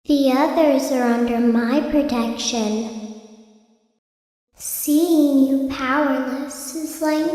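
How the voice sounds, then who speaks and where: Proud protective animatronic for a horror game: high, unhurried, coldly defiant. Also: female voice, warm tone, very echoey, long reverb tail, low-quality recording. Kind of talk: dramatic horror game character lines.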